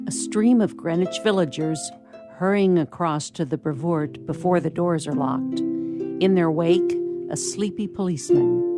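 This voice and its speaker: A woman narrating a scripted radio play. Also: on the low side.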